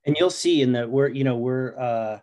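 A middle-aged man speaks as a guest on a video-call interview. His voice sits at an average pitch, and he talks informally, like a writer explaining his work. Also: moderate pace, a little mellow. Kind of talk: casual interview chat. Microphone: video call microphone.

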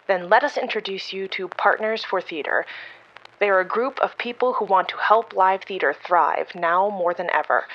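Female announcer, slightly dull recording. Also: mid-range voice. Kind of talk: scripted sponsor announcement.

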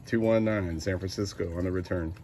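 A man's voice: deep voice, fairly even intonation, brisk, dark tone, slight background noise. An American man making casual CB radio calls in a clipped way, repeating call signs. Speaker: CB radio operator.

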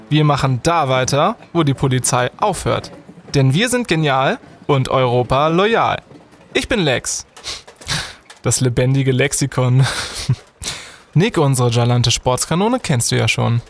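A man's voice: mid-range voice, expressive, a little slow, soft background music. A young man playing a brainy, self-important student detective in an audio drama, speaking emphatically. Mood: proud, welcoming. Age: young adult. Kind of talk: German audio drama in youth detective style.

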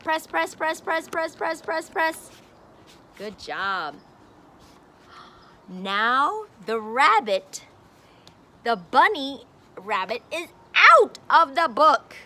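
A woman's voice: high-pitched, sing-song, bright, forceful tone, slight background noise. A woman teacher in her forties reading a picture book aloud to a child, outdoors, filmed on a phone at arm's length. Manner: slow, simple, encouraging teacher talk. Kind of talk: slow read-aloud lesson for a child. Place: outdoor patio by a brick wall. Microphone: phone camera at arm's length.

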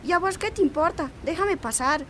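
A woman's voice: extremely high pitch, a little fast, fairly bright tone, a little hiss or hum. An actress with a Bolivian accent playing a guarded, dutiful teenage girl in a radio drama. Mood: wary, defensive.

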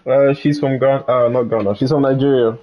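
A man's voice: mid-range voice, steady tone, brisk, dull audio. A man giving casual let's-play commentary, chatting and laughing with someone off-mic. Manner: chatty, laughs and talks aside to someone in the room. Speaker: gaming streamer.

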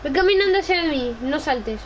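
Young woman from gaming, high excitable voice, bit of background noise. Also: normal speed, poor audio. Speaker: gamer. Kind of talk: casual gameplay role-play chat.

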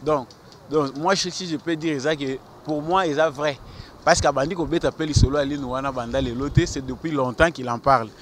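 A Congolese man in his thirties argues his point on a city square, pitched a little high, stumbling over repeated words as he talks. He speaks into a handheld mic held out to him, with faint traffic noise behind him outdoors. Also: fairly quick. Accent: Congolese French with Lingala. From Congo (DRC). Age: 30s. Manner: earnest and argumentative, many repeated stammers; gestures with his hand while talking. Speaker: passer-by interviewed on the street. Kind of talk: street interview, mixing French and Lingala. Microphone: handheld mic held out by the interviewer.

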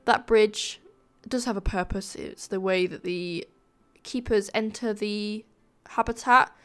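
A woman giving casual, informal commentary over a game building video, sounding nasal and a bit flat from a sore throat. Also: medium pitch, unhurried. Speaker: gaming video creator, narrator.

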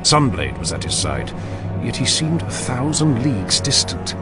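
Expressive male fantasy narrator with animated pitch. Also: normal speed, over music. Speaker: audiobook narrator. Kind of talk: scripted dramatic fantasy narration.

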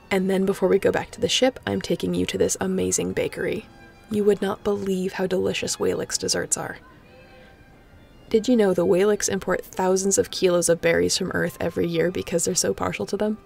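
A woman's voice: lowish voice, gravelly, a little mellow. A woman playing a snarky, affectionate but guarded space captain in an intimate sci-fi audio roleplay, talking in a casual, teasing way.

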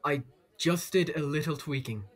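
A man playing an earnest high-voiced young cook. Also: normal speed, lightly acted. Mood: earnest, anxious. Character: earnest, polite young male aspiring chef. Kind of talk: children's audio drama acting. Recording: faint crowd behind the voice.

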